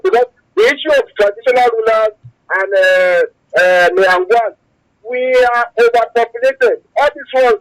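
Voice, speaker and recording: A man's voice: extremely high pitch, creaky, rough recording. A man with a Nigerian accent calling in to a radio show, giving opinions emphatically, repeating himself and searching for words. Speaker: phone-in caller. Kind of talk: opinionated radio call-in talk.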